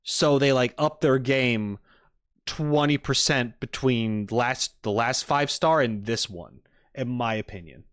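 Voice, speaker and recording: Male streamer, medium-pitched voice, casual and opinionated live reaction commentary with emphatic delivery. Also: takes it slowly, fairly bright tone, smooth. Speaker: streamer reacting to game trailers.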